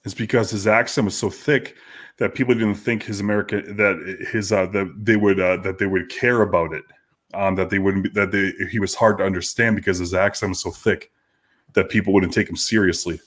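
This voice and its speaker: A man's voice: sits low, fairly even intonation, fairly bright tone. A man co-hosting a podcast in casual chat, swearing heavily and restarting his sentences. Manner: heavy swearing, halting restarts. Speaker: podcast co-host.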